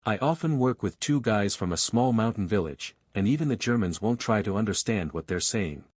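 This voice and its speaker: A man calmly reading aloud a first-person online story. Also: fairly low pitch, fairly quick, breathy edge, no echo, clean studio sound. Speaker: narrator.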